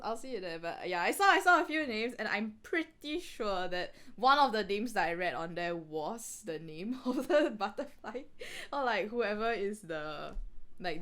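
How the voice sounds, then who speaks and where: A woman's voice: medium pitch, lively intonation, normal speed, a bit bright. A woman co-host chatting casually on a read-along podcast in a giggly, rambling way. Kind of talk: casual reaction chat.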